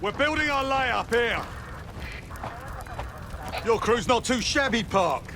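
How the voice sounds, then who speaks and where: Over loud vehicle noise, a British man barks in a very high-pitched, raised voice. He is a brash, cocky special forces soldier in a war game. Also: lively intonation, slow, full character voice. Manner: barking, cocky. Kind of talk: cinematic video game acting.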